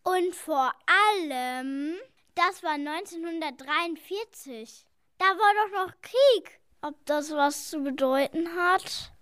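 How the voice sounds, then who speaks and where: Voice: extremely high pitch, slow, sharp tone, dry recording, clean studio sound, heavily acted. A child playing a young detective in an amateur radio play, reading the lines in an eager, worried way. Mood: earnest, puzzled.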